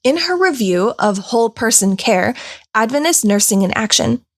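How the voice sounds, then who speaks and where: A woman reading a scripted weekly news roundup in an even, polished way. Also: a little high, normal speed. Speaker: news host.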